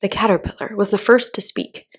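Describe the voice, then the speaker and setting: A woman narrating a children's story aloud in a calm audiobook style. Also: mid-range voice, fairly quick, breathy edge, in a whisper.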